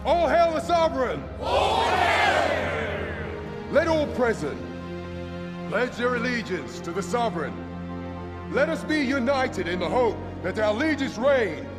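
An actor playing a pompous court herald in a fantasy game, declaiming grandly in archaic language. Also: male voice, very high voice, big pitch swings, takes it slowly, long pauses, a loud crowd, heavily acted. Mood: exultant, proclaiming. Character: pompous male court herald proclaiming a new sovereign. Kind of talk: theatrical fantasy game oratory. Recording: large reverberant hall, music behind.

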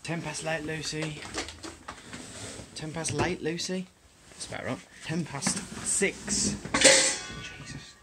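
A man's voice: fairly high pitch, fairly even intonation, slow pace, long pauses, very noisy. A young man filming himself with a handheld camera at arm's length, making dry, wry remarks as he travels through airports, planes and hotel rooms. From England. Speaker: vlogger. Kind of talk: casual travel vlog narration.

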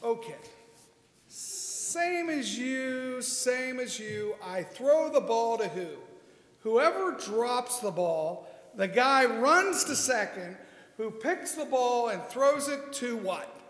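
A man playing a confused, exasperated ballplayer in an old-time radio comedy act, loud and indignant. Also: very high-pitched, expressive, takes it slowly, heavily acted. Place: stage in a hall. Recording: echoey hall with long reverb.

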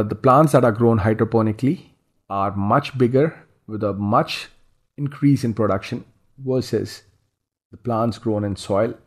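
A man presenting slides as an instructor, reading and explaining in a measured, instructional way. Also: lowish voice, a little mellow. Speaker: instructor, farming consultant.

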